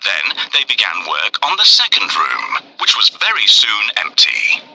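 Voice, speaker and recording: A man narrating a scripted children's fairy tale in an expressive, deliberate way. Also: lowish voice, low-quality recording. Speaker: storyteller.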